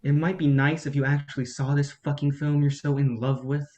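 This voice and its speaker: A man's voice: fairly high pitch, level tone, fairly quick, warm tone, natural voice. A man acting in a staged play reading as a film producer's young assistant, understated and sardonic.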